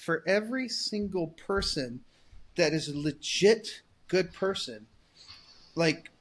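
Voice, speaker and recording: A man's voice: high-pitched, animated, slow pace. A man in his forties co-hosting a casual podcast, blunt and profane, rambling with frequent restarts. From United States. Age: 40s. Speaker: podcast co-host. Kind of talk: casual political chat.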